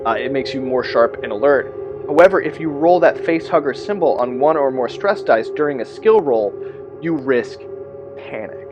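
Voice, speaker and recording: A man's voice: medium pitch, expressive, normal speed, lo-fi sound, over music. A man presenting a casual explainer on tabletop roleplaying game rules, conversational, moving between reading out text and off-the-cuff asides.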